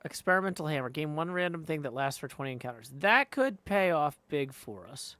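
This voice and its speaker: A man's voice: a little high, animated, brisk, a bit bright, dry recording. A man streaming a video game, chatting casually, muttering to himself and reading game text aloud.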